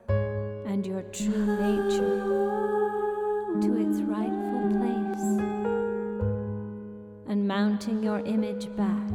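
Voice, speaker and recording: A woman reading a poetic narrative in a gentle, measured, meditative way, with pauses between short phrases. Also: medium pitch. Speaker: poet and narrator. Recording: long reverberant echo with music behind. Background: music.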